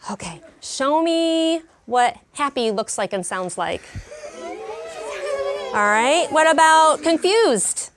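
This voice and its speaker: Higher-pitched woman teaching young children. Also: a little hiss or hum. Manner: expressive, prompting with questions. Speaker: elementary school teacher. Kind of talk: classroom lesson with young children. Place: classroom.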